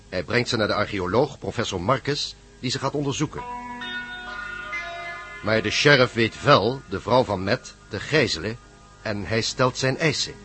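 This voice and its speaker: Male narrator, radio serial recap: scripted storytelling, mid-range voice with music clearly underneath. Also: mid-range voice, a bit bright.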